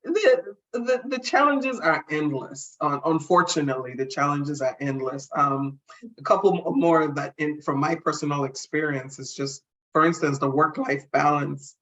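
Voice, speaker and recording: A woman's voice: deep voice, moderate pace, fairly bright tone, rough recording. A middle-aged woman, a guest speaker, answering interview questions earnestly and reflectively, often restarting her sentences.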